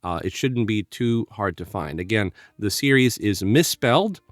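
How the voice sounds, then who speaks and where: Low-voiced man in casual podcast discussion. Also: expressive, moderate pace, dry recording, studio quality. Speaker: podcast co-host.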